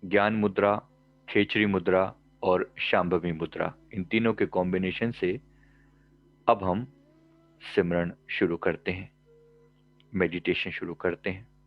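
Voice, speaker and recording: A man leading a guided meditation as a teacher, giving calm, measured instructions in short phrases with pauses between them. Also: deep voice, highs cut off. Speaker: meditation teacher and life coach.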